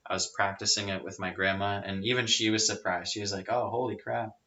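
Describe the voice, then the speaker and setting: Low-voiced man in bright casual chat. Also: brisk. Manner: relaxed, explanatory, with frequent fillers. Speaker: podcast guest. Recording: rough lo-fi audio.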